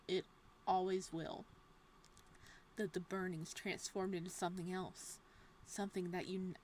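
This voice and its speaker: A woman reading a horror visual novel's text aloud in a hushed, steady voice. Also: lowish voice, slight background noise, poor audio.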